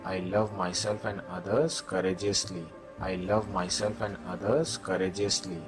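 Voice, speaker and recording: A man's voice: low-pitched, level tone, moderate pace. A man leading a guided meditation, giving simple instructions and repeated affirmations as a meditation guide. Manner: instructing, repeating set phrases. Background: music.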